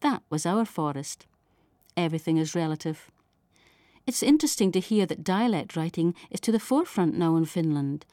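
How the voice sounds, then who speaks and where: Female reader, Shetland Scottish, soft dark tone, lowish pitch, close dry sound, literary delivery. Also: expressive, normal speed, dry recording. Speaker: poet and letter writer. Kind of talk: literary reading of poems and letters.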